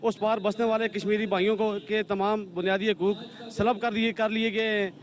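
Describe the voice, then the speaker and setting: A man speaking in an earnest, halting soundbite at a protest. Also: high-pitched, flat delivery. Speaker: protest participant. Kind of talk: street interview soundbite.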